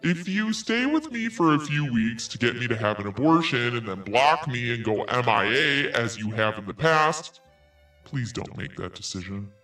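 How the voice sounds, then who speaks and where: A man's voice: medium pitch, very animated, moderate pace, bright, forceful tone, distant mic, soft background music, poor audio. A man podcast host reading an email aloud in a theatrical, emphatic way. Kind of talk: dramatic read-aloud of an email.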